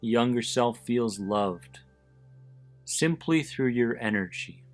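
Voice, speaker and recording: A man leading a guided visualization in a deliberate, soothing manner, pausing between short phrases. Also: mid-range voice, lively intonation. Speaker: host of an anxiety support podcast, guiding listeners. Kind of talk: guided visualization, meditation narration. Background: background music.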